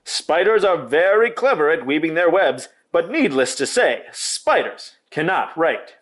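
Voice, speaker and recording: A man's voice: a little high, sing-song, measured pace, a bit bright, voice pushed up, heavily acted. A man putting on a pompous fair announcer. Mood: grandiloquent. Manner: formal, orotund speechmaking.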